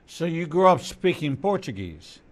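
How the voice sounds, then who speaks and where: A man hosting an interview, asking questions slowly and haltingly, repeating words as he searches for them. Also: a little high, very animated. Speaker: rabbi host.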